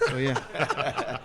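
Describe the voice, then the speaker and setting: A man co-hosting a podcast, talking in a relaxed, halting way with fillers. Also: medium pitch, very noisy. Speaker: podcast co-host. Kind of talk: casual chat.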